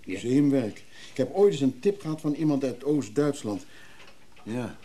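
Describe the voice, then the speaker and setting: An actor playing an obliging but guarded antique dealer in a Dutch radio drama, measured and understated. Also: male voice, medium pitch, animated, dark tone, natural voice.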